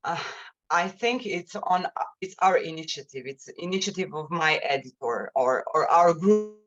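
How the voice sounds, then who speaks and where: A woman speaking in a webinar as a journalist, haltingly and often repeating words, over an online call. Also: low voice, animated, fairly bright tone. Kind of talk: webinar presentation and answers. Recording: muffled, lo-fi online call, cutting out.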